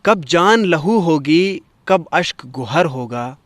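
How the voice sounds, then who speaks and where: Man, poetry host, slightly high voice, dry close sound, expressive literary delivery, measured. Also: dry recording. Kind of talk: Urdu poetry recitation and commentary.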